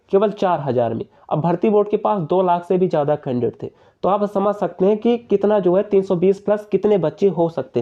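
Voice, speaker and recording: Young male explainer, high-pitched, persuasive and repetitive, on a dull narrow recording. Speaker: exam-prep YouTuber. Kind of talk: informal explainer addressing viewers.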